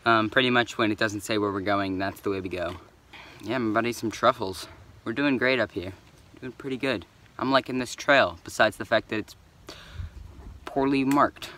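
A man's voice: on the low side, moderate pace, bright, forceful tone. A teenage boy narrating a casual vlog about his day and a hike, talking offhand with frequent ums, recorded on a phone at arm's length. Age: teens. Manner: chatty, offhand, lots of ums. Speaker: vlogger. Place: indoors at a cabin and outdoors on a forest hiking trail. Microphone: phone camera held at arm's length.

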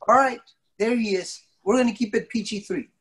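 A middle-aged man teaching a young kids' class over a video call, telling stories playfully with exaggerated surprise and drawn-out words. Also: high voice, a bit bright, breathy edge. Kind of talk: playful interactive storytelling to young children. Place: indoor studio, on a video call. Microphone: device mic at a short distance on a video call. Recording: video call with background noise and clicks.

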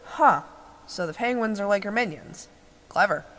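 Cartoon part woman performing: blunt, doom-minded, short-tempered man, wide pitch swings, studio-dry. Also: expressive, moderate pace, a bit bright, no room sound. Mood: wry.

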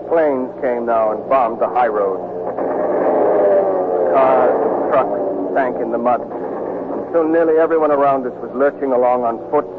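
A man's voice: medium pitch, a little slow, close to an everyday voice. An actor playing a weary American army deserter narrating his wartime love story in a 1940s radio drama. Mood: grim narrating. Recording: muffled, noisy 1949 radio broadcast recording.

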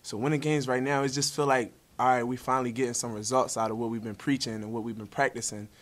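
A man's voice: medium pitch, little pitch movement, fast, fairly bright tone. A young man, a college basketball player, answering questions at a post-game press conference in an upbeat, casual way, seated at a table mic. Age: young adult. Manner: upbeat, easygoing, casual slang. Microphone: table mic in front.

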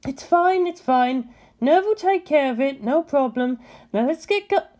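A woman's voice: high voice, animated, measured pace, darkish tone, voice pushed up. A woman voicing a bossy, exasperated young female officer who exclaims indignantly and is easily flustered. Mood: breezy.

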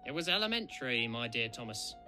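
A man's voice: mid-range voice, sing-song, quick pace, pushed and bright, soft background music. A man voicing a vain, dramatic steam engine detective in a cartoon, pompous and easily exasperated. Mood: self-satisfied. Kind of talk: cartoon comic dub dialogue.

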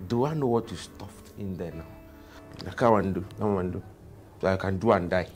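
A man's voice: low-pitched, normal speed, darkish tone, soft background music, close to an everyday voice. A man with a Nigerian accent playing a jobless, suspicious husband who taunts and exclaims in a screen drama. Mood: mocking, suspicious.

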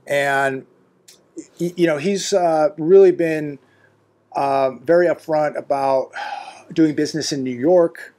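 Fifties male vlogger, seated indoors at home, alarmed commentary; emphatic, repetitive, lots of fillers, slightly higher voice. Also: a little mellow. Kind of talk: unscripted news commentary monologue. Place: living room indoors.

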